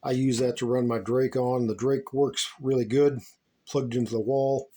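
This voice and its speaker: Male hobbyist vlogger from the US, mid-pitched, folksy and unscripted, rambles a little. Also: fairly even intonation, moderate pace, warm tone. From United States. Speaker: shortwave radio hobbyist giving a tour. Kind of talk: casual unscripted vlog narration.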